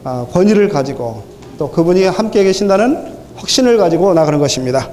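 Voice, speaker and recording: A hoarse man preaches with a mellow, slightly raised voice, often stalling on drawn-out fillers. His words ring through a reverberant church hall. A faint hiss sits under the recording. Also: high voice, big pitch swings. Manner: hesitant, many drawn-out fillers. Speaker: church speaker. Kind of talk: sermon.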